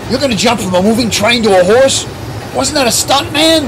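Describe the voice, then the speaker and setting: A man's voice: very high-pitched, expressive, brisk, noisy background. A man playing a loyal, capable investigator sidekick in old-time radio drama, earnest and urgent. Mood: incredulous.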